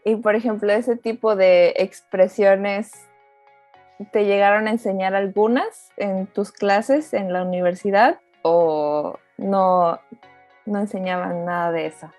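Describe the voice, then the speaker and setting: A woman's voice: medium pitch, slow pace, darkish tone, dry recording, soft background music. A woman hosting a casual interview, asking questions in an encouraging way. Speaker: host. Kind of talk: friendly interview, casual chat.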